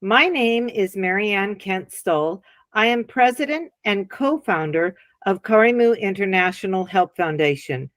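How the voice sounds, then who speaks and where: A woman's voice: mid-range voice, expressive, unhurried, fairly bright tone, dry recording, studio quality. Encouraging woman interview host. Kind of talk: interview hosting.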